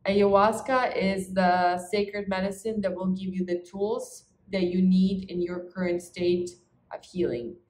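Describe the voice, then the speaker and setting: A woman's voice: mid-range voice, fairly even intonation, unhurried, darkish tone. A woman, talking earnestly and emphatically to camera as a relationship coach. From Ukraine. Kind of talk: talk to camera introducing herself to clients. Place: medium-sized living room indoors. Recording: echoey reverberant living room.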